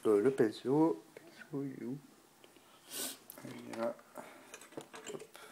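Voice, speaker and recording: A man's voice: mid-range voice, slow pace, gravelly, warm tone, a little hiss or hum. A man with a stuffy, blocked nose from a cold, unhurriedly talking through a painting tutorial and thinking aloud with many fillers. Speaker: miniature painting hobbyist.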